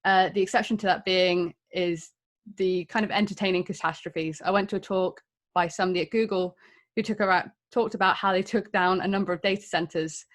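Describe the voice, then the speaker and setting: A woman's voice: fairly low pitch, fairly bright tone. A woman in her thirties with an English accent, giving a candid, self-deprecating talk to an audience of developers. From England. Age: 30s. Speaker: conference speaker.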